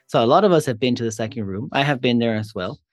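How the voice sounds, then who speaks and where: A man's voice: mid-range voice, fast, no room sound, clean studio sound, soft background music. A man hosting a local community interview show, asking questions in an upbeat way with brief acknowledgments. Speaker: podcast host.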